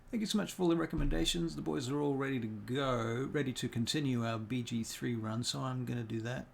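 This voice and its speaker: A man's voice: medium pitch, gravelly, a little mellow, a little hiss or hum. A tired man from New Zealand chatting casually on a livestream as a dungeon master, thinking aloud, muttering and humming to himself, speaking in a put-on accent rather than his own. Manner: deliberately speaking in a put-on non-native accent. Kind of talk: casual livestream chat while working.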